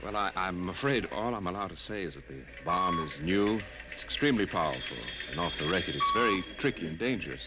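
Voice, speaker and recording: A guarded, deep-voiced scientist. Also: male voice, expressive, brisk. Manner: hesitant, guarded. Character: guarded, soft-spoken civilian scientist. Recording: noisy old radio broadcast.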